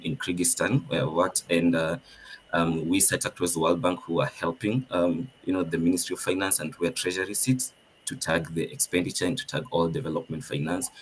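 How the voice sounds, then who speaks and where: Kenyan male panelist, Nairobi accent, very low voice, noisy video-call line. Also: level tone, brisk. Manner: many ums, thoughtful. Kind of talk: panel discussion.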